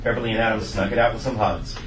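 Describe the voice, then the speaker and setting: A man's voice: lowish voice, very fast. A man reading scripted stage directions as narrator at a comedy table read. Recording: echoey room, distant mic, loud noise.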